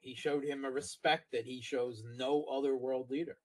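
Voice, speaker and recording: A man's voice: medium pitch, level tone, unhurried, darkish tone. A man speaking as a political analyst in conversational commentary, emphatic and inclined to repeat his points.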